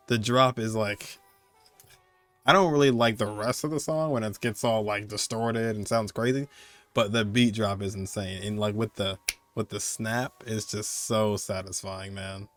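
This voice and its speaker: A man hosting a gaming countdown video with casual, excited commentary in an informal, rambling and emphatic way. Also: fairly low pitch, dry recording.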